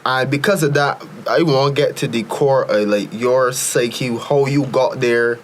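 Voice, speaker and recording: A man's voice: medium pitch, a little slow, a little hiss or hum. A man hosting a podcast, asking questions in a loose, jokey way and laughing between phrases. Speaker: podcast host. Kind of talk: casual interview chat.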